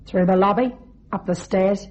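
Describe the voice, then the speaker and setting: A woman's voice: medium pitch, a little mellow, natural voice. A woman playing a cold, scheming young widow in a radio thriller, mocking and coolly commanding. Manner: taunting, coolly controlled, deliberate. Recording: dull, band-limited radio drama recording.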